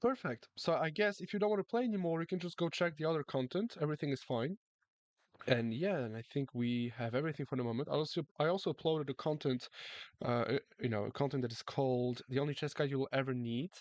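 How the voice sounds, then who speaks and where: A man's voice: mid-range voice, quick pace, no echo. A man chatting casually on a live chess stream, reading the chat and often repeating his words. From France.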